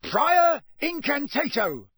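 A man's voice: high voice, big pitch swings, normal speed, fairly bright tone, no room sound, pitched above the performer's own voice, heavily acted. Dude doing blustery middle-aged wizard guy, barky and accusing, dry sound. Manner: barking, accusing.

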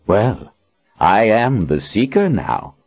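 Brief: male reader as a domineering, menacing lord, low and breathy, slow threats. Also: very animated, a little mellow, exaggerated voice. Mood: gloating. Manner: cold, commanding, menacing. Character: arrogant, cruel usurping lord, domineering man. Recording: dull lo-fi audiobook recording.

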